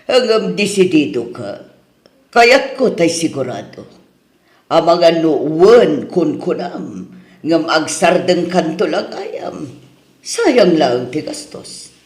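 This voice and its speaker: A woman's voice: sits low, sing-song, slow pace, darkish tone, exaggerated voice. A woman playing a protective, reluctant older mother in an Ilocano radio drama, with an Ilocano accent. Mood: stern but supportive. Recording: roomy echo.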